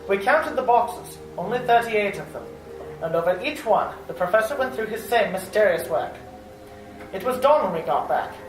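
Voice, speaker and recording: A woman's voice: mid-range voice, animated, normal speed, natural voice. A woman playing a young man keeping a journal, reporting events tersely in a live radio play. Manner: terse, matter-of-fact reporting. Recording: distant in roomy hall with music.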